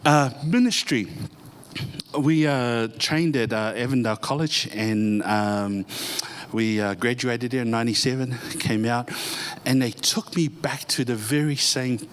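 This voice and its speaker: A man, a pastor introducing himself to a church audience in a halting, heartfelt way with many pauses and restarts. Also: medium pitch, smooth. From born in Samoa, grew up in New Zealand.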